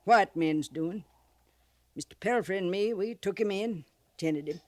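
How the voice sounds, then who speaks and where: A woman's voice: mid-range voice, measured pace, close to an everyday voice. An actress playing a canny, sardonic old frontier trader woman with a rustic American accent, folksy and blunt, in a 1950s radio western. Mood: somber. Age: older. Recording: noisy vintage 1950s radio recording.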